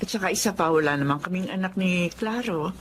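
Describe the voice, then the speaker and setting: A woman's voice: fairly low pitch, brisk, a little hiss or hum, close to an everyday voice. A woman acting a caring, earnest middle-aged wife in a Filipino radio drama, with a Filipino accent. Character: caring, earnest childless wife adopting a girl.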